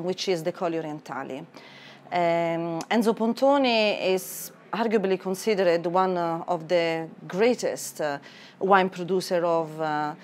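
A woman's voice: lowish voice, a little hiss or hum. A woman in her thirties with an Italian accent, a wine merchant describing a wine carefully with frequent hesitations in a wine cellar. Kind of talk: tasting discussion.

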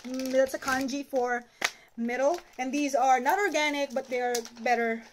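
A woman's voice: sits high, steady tone, normal speed. A woman in her thirties from the Philippines, chatting casually to a phone camera as she unpacks groceries in a small apartment kitchen. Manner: chatty, holds items up to the camera. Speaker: vlogger. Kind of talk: casual chat. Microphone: phone camera at arm's length. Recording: noisy phone recording.

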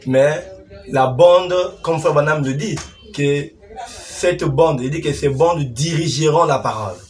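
A man's voice: fairly high pitch, measured pace, faint music behind. A man in his thirties with a Congolese accent giving informal religious exhortation, repeating phrases for emphasis. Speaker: preacher commenting on testimonies. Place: small living room indoors. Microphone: camera microphone from a short distance. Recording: faint background noise, room ambience.

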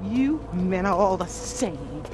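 Scolding, sharp-tongued aunt. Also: female voice, fairly low pitch, very animated, slow, noisy background. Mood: sly, sour. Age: middle-aged. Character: scornful, sharp-tongued middle-aged aunt. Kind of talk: video game voice acting.

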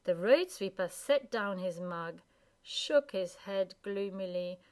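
Woman narrating a storybook, mid-pitched. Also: lively intonation, slow pace.

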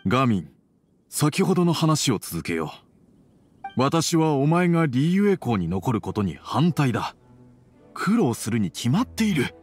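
A man's voice: medium pitch, very animated, a little mellow, breathy edge. Concerned middle-aged father, stern, formal, varied intonation, video game scene, faint music. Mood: earnest, stern. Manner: measured, formal, earnest. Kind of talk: Japanese video game voice acting.

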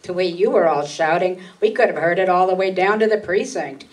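A woman's voice: lowish voice, fairly quick, natural voice. A woman playing a weary, scolding police sergeant in a 1950s radio noir drama. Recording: distant handheld mic in a hall.

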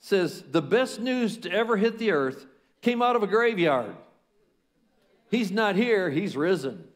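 Older Southern preacher, high voice, fervent, big reverberant hall. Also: male voice, big pitch swings, a little slow. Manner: fervent, repeats praise phrases. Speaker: pastor. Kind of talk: prayer and sermon. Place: large church stage. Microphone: podium mic. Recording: long hall reverb with music.

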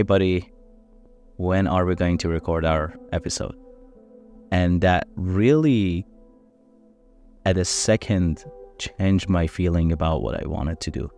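A man whose first language is Farsi, hosting a podcast and giving an earnest, measured introduction. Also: low-pitched, a little mellow. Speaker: podcast host.

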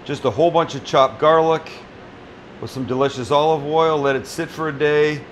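A man's voice: fairly high pitch, fairly even intonation, normal speed, a little hiss or hum. Joking, cursing middle-aged male chef. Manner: joking, blunt, swears freely. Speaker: chef and cooking show host. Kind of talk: casual cooking demonstration. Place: small restaurant kitchen, indoors.

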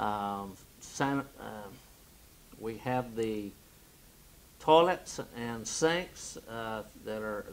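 Older male missionary, plain halting update, frequent pauses. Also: mid-range voice, vocal fry, low-quality recording. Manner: halting, many "uh" fillers. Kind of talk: project update. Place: studio set with wooden wall backdrop.

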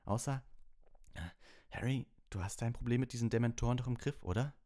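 A man's voice: medium pitch, fairly quick, dark tone, pitched above the performer's own voice, lightly acted. A man voicing an earnest, driven teenage boy who captains a team, in an audiobook. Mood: concerned. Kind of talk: audiobook character voice.

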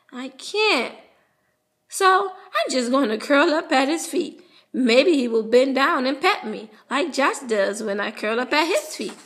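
A woman reading a children's story aloud in a playful, animated way, voicing the narration of a puppy. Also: sits high. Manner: playful, animated, with drawn-out sound words.

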